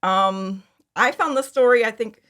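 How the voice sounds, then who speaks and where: A woman's voice: a little high, measured pace, a bit bright. A woman chatting casually as an interview guest about her own creative work. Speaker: interview guest, fan audio drama creator. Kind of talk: casual chat, telling how a project came about.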